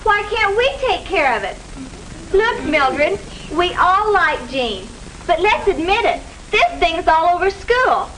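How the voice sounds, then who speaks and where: A gossipy, pushy high school girl from a 1950s educational film. Her voice is high and very animated, earnest and insistent, with faint noise on the old track. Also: takes it slowly, full character voice. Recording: noisy 1950s film soundtrack.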